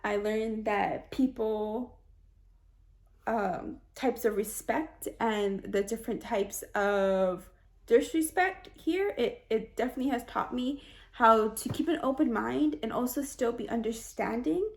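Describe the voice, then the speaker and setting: A young woman from Hawaii giving an earnest, reflective self-introduction for a class, with small restarts and soft laughs. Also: fairly high pitch, measured pace. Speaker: student introducing herself.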